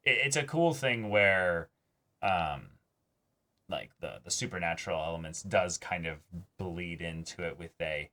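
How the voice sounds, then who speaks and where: A man co-hosting an anime reaction show, talking casually and haltingly, with emotional investment and informal language. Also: sits low, animated, pushed and bright. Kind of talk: casual discussion of an anime episode.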